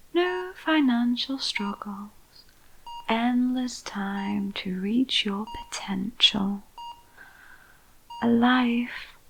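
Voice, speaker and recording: A woman playing a clinical female vampire scientist in an ASMR roleplay, with a whispery, breathy voice and deliberate delivery. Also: medium pitch, a little hiss or hum, poor audio. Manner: slow, soothing, deliberate. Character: calm, clinical female vampire scientist overseeing a sinister experiment.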